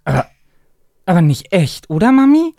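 A man's voice: sits high, very animated, normal speed, gravelly, warm tone, no echo, clean studio sound, voice pushed up, heavily acted. A man voicing a frightened, whiny little child who clings to mummy in a children's radio play. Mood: scared, pleading. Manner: timid, whiny, hesitant.

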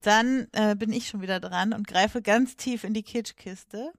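A woman's voice: mid-range voice, a little slow, no room sound, studio quality. A woman co-hosting a podcast, chatting casually about cartoons. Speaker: podcast co-host.

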